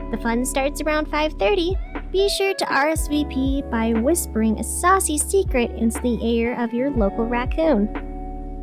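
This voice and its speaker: A woman playing a whimsical community radio host who delivers absurd scripted town news in a playful, deadpan way. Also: high-pitched. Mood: playful, announcing. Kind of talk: scripted fiction podcast, community radio announcements.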